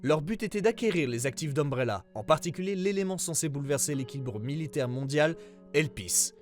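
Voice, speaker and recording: A man playing a government investigator who reads out a formal case report in a serious, measured way, as scripted narration. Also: on the high side, lively intonation, faint music behind, lightly acted. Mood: grave, formal.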